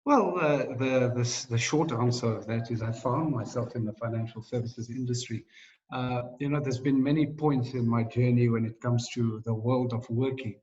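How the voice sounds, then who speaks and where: A man from South Africa giving thoughtful, unhurried interview answers with many fillers and light laughs. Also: medium pitch, darkish tone. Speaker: interview guest, financial coach. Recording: distant, dull lo-fi audio.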